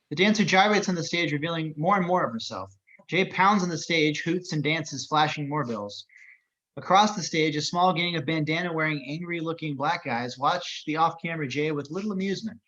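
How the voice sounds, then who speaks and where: Higher-pitched male narrator, dull audio. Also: brisk, fairly bright tone. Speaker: narrator of action descriptions. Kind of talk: scripted narration at table read.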